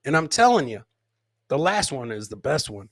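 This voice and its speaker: An American man hosting a comedy podcast, loudly commenting on funny clips in a boisterous way, swearing freely and throwing out catchphrases. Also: mid-range voice, sing-song, moderate pace, no room sound, studio quality.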